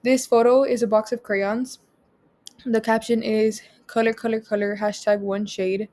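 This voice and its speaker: Mellow, even-toned young woman presenting. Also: fairly high pitch. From Rio Grande Valley, Texas. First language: Spanish. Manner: measured, reads each caption aloud then explains. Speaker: student presenter. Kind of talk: presenting a photo project.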